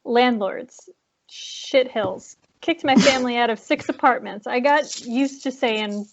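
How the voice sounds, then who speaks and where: Woman acting a tough, wry, higher-voiced squad member. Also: unhurried, dull audio. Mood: wry, resigned. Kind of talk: table read of a horror film script.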